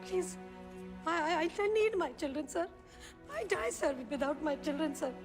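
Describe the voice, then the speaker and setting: A woman's voice: sits high, steady tone, slow, over music. An actress playing a desperate mother who pleads in broken English in a courtroom, repeating herself. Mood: desperate pleading. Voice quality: broken English, repetitive sobbing pleas. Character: desperate Indian mother pleading for her children. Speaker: defendant mother. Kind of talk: film courtroom drama. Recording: echoey courtroom hall.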